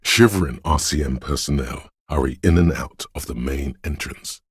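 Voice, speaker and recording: A man voicing a brooding, all-seeing inner voice in a video game, narrating slowly and solemnly in a hushed, gravelly husky tone. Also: extremely low pitch, dry recording, studio quality, heavily acted. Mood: grim, somber. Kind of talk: slow atmospheric scripted game narration.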